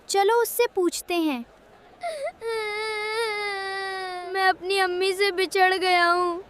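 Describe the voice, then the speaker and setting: A woman's voice: very high voice, little pitch movement, a bit bright, a little hiss or hum. A woman voicing a cheerful, helpful young boy vegetable in a children's cartoon, with an earnest, encouraging manner. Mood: worried, emotional. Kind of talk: children's cartoon moral story dialogue.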